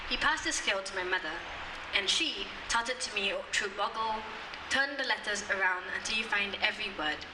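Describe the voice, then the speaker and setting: A young woman from Singapore reciting poems and explaining them in an easy, rambling way with frequent ums. She is heard from some distance in an outdoor courtyard, with echo bouncing around her. Steady background noise sits under her voice. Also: medium pitch, normal speed. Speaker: spoken word poet. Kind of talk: poetry performance and chat. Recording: echoey, distant, noisy outdoor recording.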